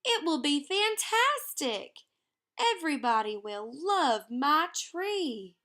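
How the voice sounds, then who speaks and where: An eager, dreamy little storybook girl, played by a woman reader, bubbling over with big ideas. The voice is high and bright, and she takes her words slowly. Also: full character voice. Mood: exuberant. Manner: excitable, gushing. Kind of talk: children's storybook character reading.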